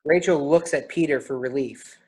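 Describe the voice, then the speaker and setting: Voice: mid-range voice, moderate pace, darkish tone, husky. A person reading stage directions for a play, in scripted narration style.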